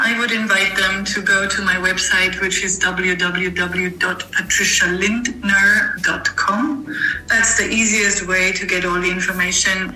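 A woman whose voice sounds very bright and clear, speaking slowly and in a flat, even tone. She answers interview questions thoughtfully and often restarts her sentences. The recording carries a noticeable room echo. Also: fairly low pitch. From Germany. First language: German. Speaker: guest, author and mentor.

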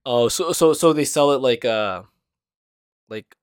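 A man's voice: medium pitch, measured pace, clear, resonant tone, dry recording, studio quality. A young man co-hosting a casual podcast chat, asking curious questions, swearing casually and trailing off mid-thought. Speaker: podcast co-host.

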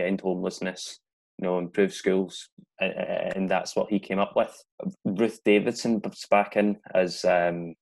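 A man's voice: deep voice, creaky, rough recording. A man in a casual political discussion as a podcast panellist.

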